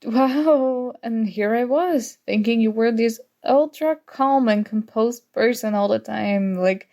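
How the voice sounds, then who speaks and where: A woman playing a flustered, teasing young student rival in an intimate ASMR roleplay, soft-spoken, mock-annoyed and hesitant. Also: on the high side, takes it slowly. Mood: amused, teasing.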